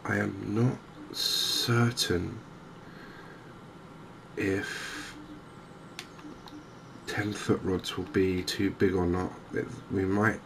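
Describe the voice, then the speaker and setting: A man softly narrating a game in ASMR style, hushed and hesitantly thinking aloud. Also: deep voice, steady tone, extremely slow, vocal fry, long pauses. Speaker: gaming video host. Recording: noticeable background ambience noise. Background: game ambience.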